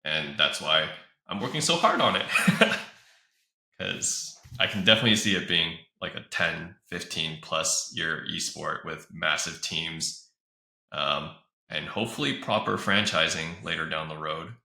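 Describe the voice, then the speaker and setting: A man's voice: rumbling low, a little slow, vocal fry, sharp tone. A man giving casual interview answers as an esports organizer, recorded in a room with some echo. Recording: roomy echo.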